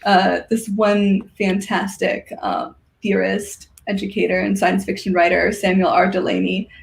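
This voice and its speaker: A woman talks as a podcast guest with a noticeably creaky, mid-pitched voice. Her chat is casual and enthusiastic. She moves haltingly through her thoughts, feeling for each phrase. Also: little pitch movement. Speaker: podcast guest, science fiction writer.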